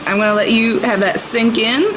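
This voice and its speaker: A blunt female park ranger played by a woman in a tabletop roleplay game. She gives orders in an urgent, no-nonsense way. A clear rain-like noise sits behind the voice. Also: a little high, steady tone, fairly quick, voice pushed up, natural voice. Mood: blunt, grave. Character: blunt, bossy female park ranger taking charge in crisis.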